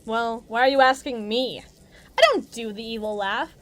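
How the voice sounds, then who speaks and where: A woman's voice: on the high side, normal speed, a bit bright, close to an everyday voice. A woman playing a brash, mean henchwoman at an evil agency in an audio roleplay, with sarcastic, theatrical, slangy tough talk. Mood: needling, condescending. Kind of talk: acted monologue roleplay addressed to listener.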